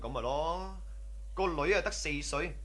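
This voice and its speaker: An actor playing a humble, easily impressed casino underling in a radio drama, chummy and deferential. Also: male voice, fairly high pitch, unhurried, a bit bright. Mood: warm, reflective. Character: humble, eager casino underling, admiring younger male cousin. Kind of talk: Cantonese radio drama acting.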